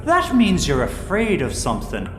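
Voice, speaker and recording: A high-pitched, very animated man's voice in an echoey room with faint noise. He is a captive treasure hunter in an audio drama, agitated, defiant and hot-tempered. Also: normal speed. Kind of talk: sci-fi audio drama acting.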